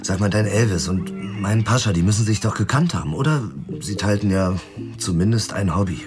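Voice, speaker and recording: A hard-boiled male private investigator, played by an actor in a radio drama, worn down and short of money. His voice sits low and he talks in dry, sardonic street language, with music clearly underneath. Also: expressive, fairly quick, close to an everyday voice. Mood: desperate, calculating. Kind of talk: German radio drama acting.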